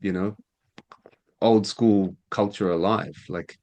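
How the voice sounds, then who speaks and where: A man hosting an interview, asking relaxed, rambling questions full of fillers. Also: lowish voice, slow, muffled recording. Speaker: interviewer, radio show host. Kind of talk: casual conversational interview.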